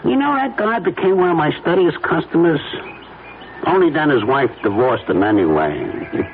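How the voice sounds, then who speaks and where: An actor playing a falsely chummy small-time blackmailer photographer in an old-time radio drama, with folksy uneducated talk and oily friendliness. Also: male voice, fairly high pitch, sing-song, normal speed. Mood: wheedling, menacing. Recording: tinny band-limited radio recording, faint noise.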